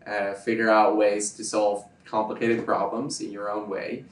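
A man's voice: fairly low pitch, little pitch movement, moderate pace, recorded from a distance. A Dutch man whose first language is Dutch, a YouTuber answering interview questions, emphatic and repeating his points. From Netherlands. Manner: emphatic, repeats points, checks agreement with "right?". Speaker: YouTuber guest. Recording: roomy sound from off-mic.

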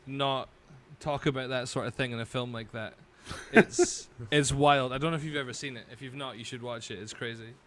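A man's voice: medium pitch, fairly even intonation, brisk, fairly bright tone. A Scottish man telling rambling stories as a guest on a casual podcast, laughing as he goes. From Scotland. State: tipsy.

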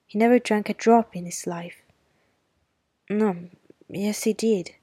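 A woman's voice: mid-range voice, steady tone, slow pace, mellow, slightly rough, no echo, studio quality. A woman reading the part of a feisty, stubborn young girl, speaking bluntly and defiantly.

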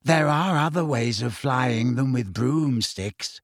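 Audiobook character, man as serene teenage girl, airy and unbothered, slow drawn-out delivery, dignified, dry sound. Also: mid-range voice, dry recording.

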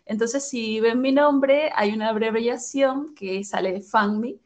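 A woman's voice: fairly high pitch, measured pace, darkish tone. A woman in her twenties with a Venezuelan accent, casually telling her own story as a podcast guest in an informal, giggly manner. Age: 20s.

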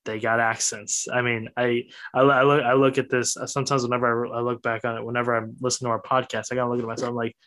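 A man's voice: mid-range voice, flat delivery, quick pace, hardly any pauses, a bit bright. A man with an Upper Midwest accent, ranting bluntly on a casual sports podcast. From Wisconsin, US Midwest. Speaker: podcast co-host.

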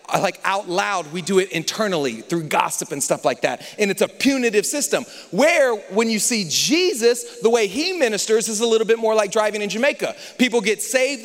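A young adult man from the United States preaching a sermon, moving between loud, lively passages and hushed, confiding ones. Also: extremely high pitch. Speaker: pastor. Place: large church hall. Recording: church hall reverb.

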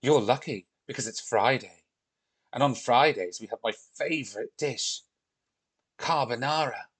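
A man's voice: medium pitch, measured pace, fairly bright tone, breathy edge, no echo. A man voicing an outspoken, bossy young girl in a children's story, speaking emphatically. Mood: chatty, friendly. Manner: scolding, emphatic.

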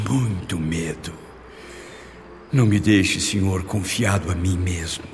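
An actor playing a humble, devout, frightened father at prayer in a radio drama, earnest, solemn and pleading, with long reverb. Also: low voice. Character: fearful, humble, devout father praying for strength. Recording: long reverb, as inner thoughts.

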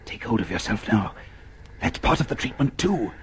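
An actor playing a grave, taunting narrator in a radio drama, deliberate and theatrical. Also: male voice, on the low side, sing-song, slight background noise. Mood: intimate, taunting.

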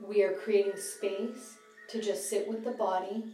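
Female meditation guide, soft-edged mellow tone, calm measured phrasing, recorded slightly away from the mic with music behind. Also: mid-range voice. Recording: slightly distant roomy sound, music behind.

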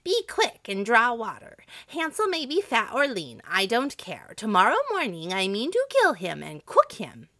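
A woman voicing a wicked old witch from a storybook, malicious and bullying, with drawn-out, scolding commands. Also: fairly high pitch, sing-song, creaky, pushed and bright, no echo, clean studio sound, pitched above the performer's own voice, heavily acted. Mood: impatient.

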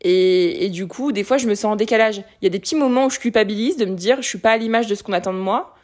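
A woman's voice: medium pitch, fast. Candid woman in her late twenties. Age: late 20s. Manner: candid, animated, many fillers and restarts. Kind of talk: casual conversation.

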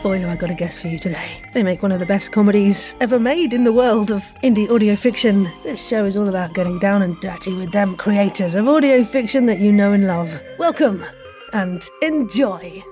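A woman hosting a podcast interview in an excited, playful and gushing way. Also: mid-range voice, fairly quick, faint music behind.

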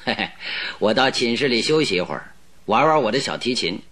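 A cool, confident detective, voiced by a man in an old radio drama. His pitch swings widely while he lays out terms with quiet, wry assurance. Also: expressive, brisk, slight background noise, close to an everyday voice. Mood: amused.

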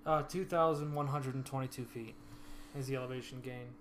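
A man chatting casually about a hike, relaxed and quick to laugh. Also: medium pitch, slight background noise. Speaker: hiker recounting a trip. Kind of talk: casual chat.

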